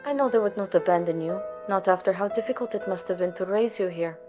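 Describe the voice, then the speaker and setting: A woman playing a fastidious, exasperated investigator in a narrative audio drama, giving orders and musing aloud to herself. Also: medium pitch, dull audio, over music, lightly acted. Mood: pensive.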